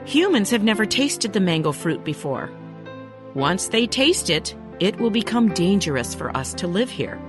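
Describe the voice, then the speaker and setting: A woman's voice: medium pitch, big pitch swings, normal speed, music in the background, lightly acted. A woman voicing a noble, wary male monkey king in a storybook cartoon, with a solemn, formal address. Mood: grave warning.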